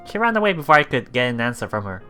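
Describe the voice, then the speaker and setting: A woman casually reading and reacting to a visual novel as a let's play streamer, giggly, excitable and expressive. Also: very deep voice, very fast, smooth, soft background music.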